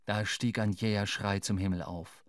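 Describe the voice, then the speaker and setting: A man's voice: on the low side, fairly even intonation, no echo. Male radio-play narrator, dry close sound. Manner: measured, dramatic storytelling.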